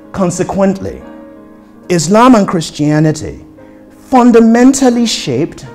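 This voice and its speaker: A man reading scholarly prose aloud as an author, with deliberate, emphatic phrasing. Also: a little high, darkish tone.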